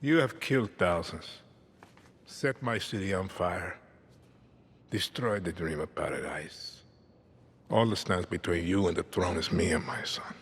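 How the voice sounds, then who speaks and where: Cold ruthless aging dictator, low gravelly voice, measured and hushed. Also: male voice, heavily acted.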